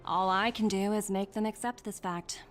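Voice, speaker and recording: An actress playing a confident young female corporate emissary in a game, smooth-talking, poised and persuasive. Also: medium pitch, steady tone, moderate pace, natural voice. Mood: assured, persuasive. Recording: light music behind the voice.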